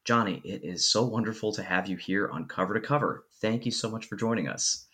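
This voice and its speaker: A man hosting a podcast, speaking in a measured, articulate way as he reads introductions and interviews a guest. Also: fairly low pitch, fairly bright tone. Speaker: podcast host.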